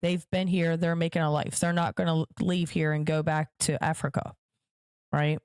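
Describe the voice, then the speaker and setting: A woman's voice: sits low, little pitch movement, moderate pace, darkish tone, smooth, no room sound, studio quality. A woman hosting her own podcast, giving emphatic political commentary straight to her viewers and repeating points for stress. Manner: direct address to viewers. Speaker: podcast host.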